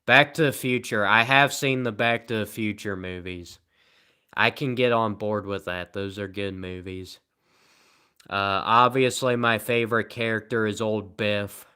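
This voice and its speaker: A tired man live streaming, rambling in a sarcastic, self-mocking way as he answers viewer comments at low energy. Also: fairly even intonation, a little slow, pushed and bright, smooth.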